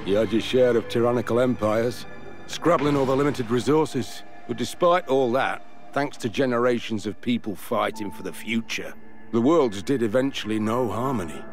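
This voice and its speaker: A man's voice: mid-range voice, moderate pace, faint music behind, natural voice. A man playing a blunt, wry veteran fighter in a video game cutscene, talking in a plain colloquial way. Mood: wry, weary. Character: gruff older veteran fighter, blunt and wry, explaining history.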